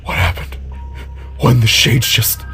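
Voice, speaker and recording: A man's voice: medium pitch, lively intonation, a little slow, pushed and bright. A man acts out a distraught schoolteacher in an audio drama, protective and badly shaken. He stammers, gasps and repeats himself over background music. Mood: horrified, stunned.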